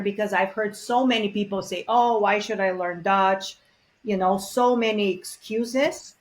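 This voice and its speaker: A woman speaks as an interview guest at an ordinary mid pitch. Her manner is earnest and reflective, full of small pauses and fillers as she works through her thoughts. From Bulgaria. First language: Bulgarian. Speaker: guest expert on multilingual parenting. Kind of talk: conversational interview.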